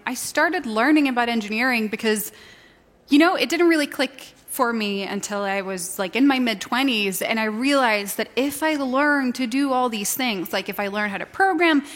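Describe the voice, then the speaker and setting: A woman's voice: fairly high pitch, animated, moderate pace, a bit bright. A woman from Sweden in her thirties, an inventor and online creator, chatting casually as an interview guest, joking about herself and laughing often. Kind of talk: casual chat on stage. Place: round live stage, reverberant hall. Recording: long hall reverb from a live stage.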